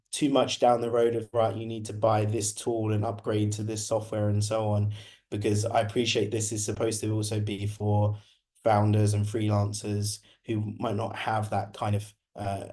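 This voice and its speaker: Husky-voiced man, low and flat. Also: moderate pace. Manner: conversational, many restarts and repetitions. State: recovering from illness, slightly hoarse. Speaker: guest speaker presenting a webinar. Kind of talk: online presentation.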